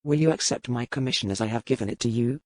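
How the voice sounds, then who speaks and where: Man as stubborn ship's captain, guarded, terse, formal, historical audiobook drama, medium pitch, close dry recording. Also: fast talker, no room sound, natural voice. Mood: annoyed, formal.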